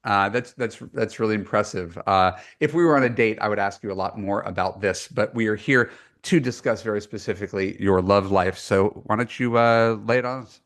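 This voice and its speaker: A deep-voiced man hosts a dating-coach podcast. He talks in a loose, chatty way, full of ums and false starts, and pokes fun at himself. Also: a little fast, fairly bright tone. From United States. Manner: chatty, self-deprecating, many fillers and restarts. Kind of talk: conversational podcast intro and coaching.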